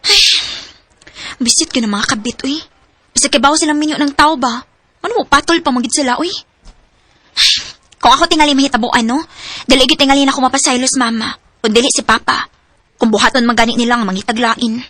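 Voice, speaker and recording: A woman's voice: sits high, a little fast, pauses often, bright, forceful tone, dry recording. A young woman playing a caring college student in a radio drama, chatting with sympathy. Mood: chatty. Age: young adult.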